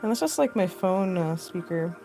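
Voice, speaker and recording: A young woman with a low, deep voice and a gravelly edge chats loosely as she works, full of fillers and quick laughs. Her tone is mellow and dark rather than bright. She is picked up by a phone mic, with faint music underneath. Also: expressive, poor audio. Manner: rambling, filler-heavy, laughs often. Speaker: costume artist streaming live. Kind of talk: casual chat while sculpting.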